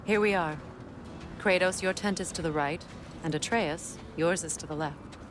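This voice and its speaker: A woman voicing a resolute, commanding warrior queen in a video game, speaking in a firm, measured way. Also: fairly low pitch, lightly acted. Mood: calm, gentler. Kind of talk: cinematic video game acting. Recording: loud ambient noise behind.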